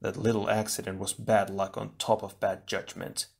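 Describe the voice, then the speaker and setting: A man's voice: lowish voice, fairly even intonation, moderate pace, a little mellow, close to an everyday voice. A man voicing a stern, reserved male ice hero who speaks formally and tersely. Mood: grim. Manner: formal, clipped.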